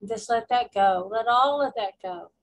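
A woman guiding a meditation as a spiritual coach, speaking deliberately in short phrases with pauses and repetition. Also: a little high, rough recording. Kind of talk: guided meditation with spoken affirmations.

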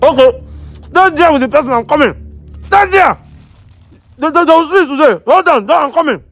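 Casting: male actor as officious, subservient Nigerian constable, comic drama, high barking voice, very animated. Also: a little fast, dry recording, faint music behind, heavily acted. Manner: barking, repeats commands. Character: blustering, subservient junior policeman, loud and officious.

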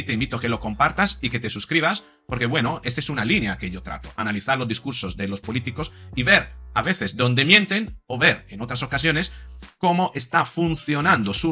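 A man in his forties talks straight to camera into a desk mic in a home studio, thinking aloud with many small restarts. His voice is bright and forward, and faint music plays softly behind him. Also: mid-range voice, expressive, brisk. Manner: reflective, with many fillers and repeats. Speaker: psychologist and commentator. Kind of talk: video commentary to camera.

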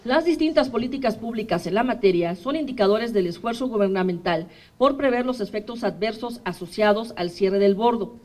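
A woman in her thirties with a Mexican accent, reading a formal statement at a podium microphone for a human rights commission, measured and steady. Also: fairly high pitch. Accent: Mexican Spanish. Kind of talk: formal statement read aloud. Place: indoor press room, at a podium.

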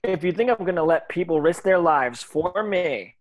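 A man's voice: on the high side, lively intonation, moderate pace, lightly acted. A man playing a stubborn, protective teenage boy wizard hero in a table read over a video call. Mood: protesting.

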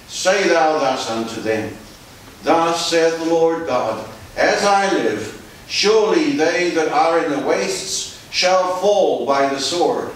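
A man giving a formal, solemn, measured scripture reading as a priest in a church. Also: fairly high pitch. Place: small church, standing at lectern. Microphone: distant camera microphone. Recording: echoey church, distant mic, faint hiss.

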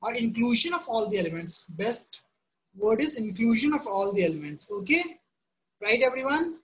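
A woman's voice: on the high side, very animated, normal speed, slight background noise, rough recording. A woman teaching a live online math class, often asking "right?" and "okay?" to check on students. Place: home room.

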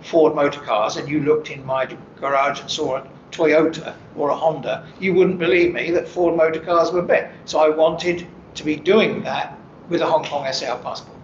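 Measured older man in an echoey studio. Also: on the high side, steady tone, lo-fi sound, a little hiss or hum. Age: 70s. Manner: measured, qualifies his points, laughs at his own wording. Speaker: interview guest, retired senior official. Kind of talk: studio interview conversation. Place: green-screen TV studio, seated.

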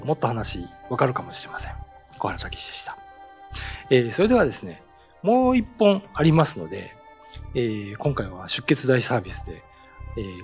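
Animated middle-aged male storyteller. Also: medium pitch. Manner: animated storytelling, acts out sounds. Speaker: host and ghost-story writer. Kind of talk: casual talk explaining folklore. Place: small studio set with bookshelf backdrop, indoors. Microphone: desk mic on a stand.

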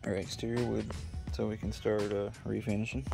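A man's voice: on the low side, level tone, a little fast, vocal fry. A man in his forties, narrating a casual boat vlog and talking to the camera from his sailboat. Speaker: vlogger. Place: sailboat outdoors on the water. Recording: background music under the voice.

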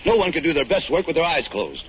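An actor playing a formal, easily flustered general manager in a 1940s radio drama. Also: male voice, fairly high pitch, big pitch swings, fast. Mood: stern. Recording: tinny, noisy old radio recording.

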